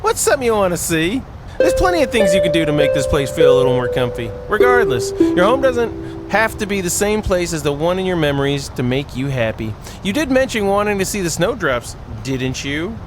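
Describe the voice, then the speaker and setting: A man voicing a kind, encouraging stranger in a visual novel, read in a gentle, coaxing, conversational way. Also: high-pitched, very animated, a little fast, over loud music. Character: kind, encouraging stranger sheltering from a winter storm.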